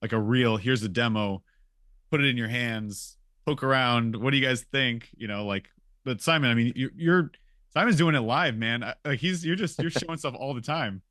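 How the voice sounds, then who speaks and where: A man's voice: medium pitch, normal speed, bright, forceful tone, no echo. A man from a game studio chatting casually on a podcast, informal and emphatic, often repeating his phrases.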